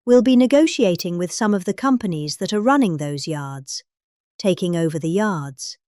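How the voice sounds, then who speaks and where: A woman's voice: on the low side, animated, moderate pace, darkish tone, no echo, studio quality. A middle-aged British woman giving a theatrical parody reading of a speech, with exaggerated, emphatic delivery. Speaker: performer reading a political speech.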